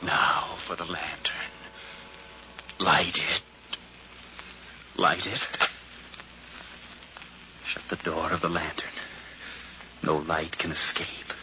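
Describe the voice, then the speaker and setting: Low, hushed, anxious would-be murderer. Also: male voice, flat delivery. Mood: menacing, intense. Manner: hushed, trembling, slow brooding delivery. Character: anxious, murderous nephew plotting by night. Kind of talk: 1950s radio drama acting. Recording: noisy 1951 radio recording.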